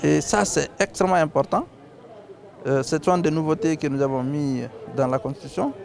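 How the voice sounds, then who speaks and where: Measured man giving a public speech. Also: on the high side, creaky, darkish tone. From Guinea. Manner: measured, with frequent hesitations. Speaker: legislator on a tour.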